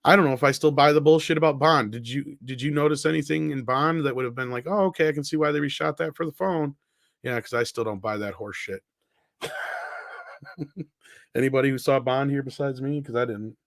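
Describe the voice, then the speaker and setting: A man's voice: mid-range voice, fairly quick, gravelly, fairly bright tone, no room sound. Blunt man host, dry close sound. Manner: blunt, swears casually. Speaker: podcast host reading super chats. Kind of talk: casual chat.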